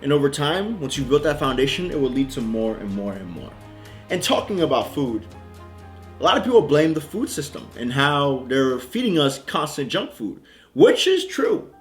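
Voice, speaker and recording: A man's voice: medium pitch, sing-song, moderate pace, faint music behind. A young man from Haiti, a fitness coach, giving a blunt motivational talk with casual slang.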